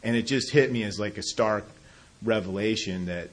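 A man sharing his own recovery story in a halting, self-deprecating way, often restarting sentences and laughing at himself. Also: fairly low pitch. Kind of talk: personal testimony talk.

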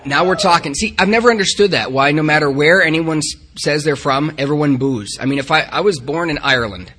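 Bright-voiced man telling his recovery story. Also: fairly high pitch, brisk, slightly rough. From Upper Midwest of the United States. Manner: self-deprecating, joking, candid. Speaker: speaker at a recovery group meeting. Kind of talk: personal recovery talk to a group.

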